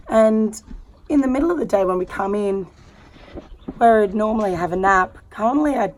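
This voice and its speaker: A hoarse Australian woman talks openly with plenty of fillers, her worn-out voice nearly gone. She sits on the ground outdoors in a paddock, with faint noise around her. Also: mid-range voice, poor audio. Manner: candid and heartfelt, with many fillers. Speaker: horse rider and vlogger. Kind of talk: casual vlog talk to camera.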